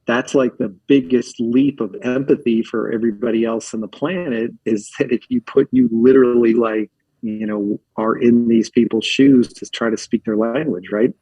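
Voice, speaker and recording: Husky-voiced chatty man. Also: medium pitch, moderate pace, dark tone, no room sound, low-quality recording. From Philadelphia, US. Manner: rambling, filler-heavy, uses lots of likes. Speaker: podcast guest. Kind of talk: casual storytelling chat.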